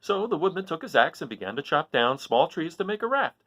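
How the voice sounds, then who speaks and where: A man reading a children's story aloud with expressive, dramatic and emphatic phrasing. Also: fairly high pitch, fairly quick, no room sound.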